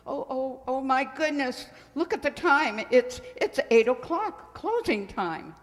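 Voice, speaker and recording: Woman acting a slow, high-voiced flustered spinster librarian. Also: sing-song. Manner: hesitant, stammering, fussy. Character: nervous, flustered small-town spinster librarian. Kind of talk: old-time radio mystery acting. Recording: echoey hall with long reverb.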